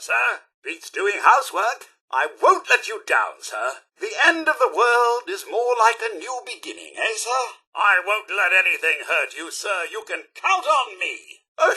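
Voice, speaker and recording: A man's voice: on the high side, pushed and bright, breathy edge, heavily acted. A man voicing a prim, fussy robot butler for a video game, with a British accent and a pompous, over-polite, theatrical manner. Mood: cheerful, devoted. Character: prim, fussy, loyal robot butler, male, dryly sarcastic. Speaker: companion character in a video game.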